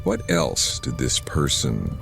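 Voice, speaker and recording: Stern medieval swordsman, acted by a man; extremely low, creaky voice, calm challenging questions, storybook drama over soft music. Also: slow, exaggerated voice. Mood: stern questioning.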